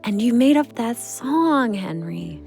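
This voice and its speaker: A woman playing a wry, affectionate girlfriend in an audio drama, natural and expressive. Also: a little high, slow, vocal fry, soft background music, exaggerated voice. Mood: wistful.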